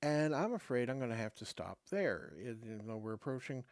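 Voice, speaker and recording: A man's voice: medium pitch, big pitch swings, darkish tone, no room sound. A middle-aged man hosting his own show, reading a game rulebook aloud with casual asides, his voice going dry and fading. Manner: halting, many fillers and restarts between read passages. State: losing his voice, dry throat.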